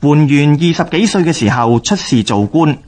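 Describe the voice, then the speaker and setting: A man with a Hong Kong Cantonese accent reads scripted historical radio narration in a formal, measured way. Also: on the high side, expressive, a little mellow.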